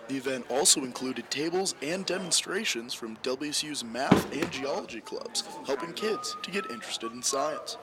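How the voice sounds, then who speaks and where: A man's voice: mid-range voice, moderate pace, hardly any pauses, very noisy. A young man reporting as a student TV journalist, reading scripted news with an emphatic broadcast delivery. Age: young adult.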